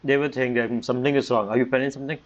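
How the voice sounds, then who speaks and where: A man's voice: mid-range voice, steady tone, racing, a little hiss or hum. A man streaming a game playthrough, giving casual, muttered reactions with loose, informal grammar. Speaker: gaming streamer. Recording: muffled mic with game music.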